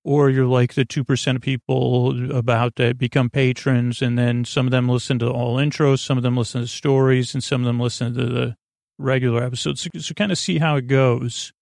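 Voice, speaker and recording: A man hosting a sleep podcast, rambling in a drowsy, meandering way and gently reassuring his listeners. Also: medium pitch, level tone, brisk, creaky, dry recording, clean studio sound. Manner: meandering, drowsy, self-interrupting.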